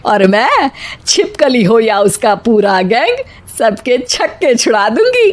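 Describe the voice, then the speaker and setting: Bossy cartoon mother-in-law, female performer: middle-aged, sharp-tongued scold, sarcastic, high and very lively. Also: slow pace.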